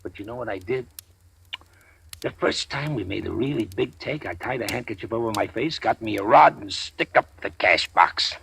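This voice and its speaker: A man playing a wary middle-aged gangster who was once a preacher, folksy and drawling, with quiet menace, in 1940s radio drama style. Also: lowish voice, animated, normal speed, vocal fry, slight background noise.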